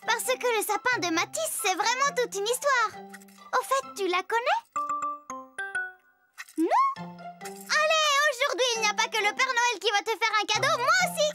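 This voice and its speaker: A woman's voice: extremely high pitch, normal speed. A woman voicing a bubbly, chatty small child who hosts and tells stories to young viewers in a cartoon. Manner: chatty, exclamatory, confiding. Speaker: host introducing stories. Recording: loud music behind the voice.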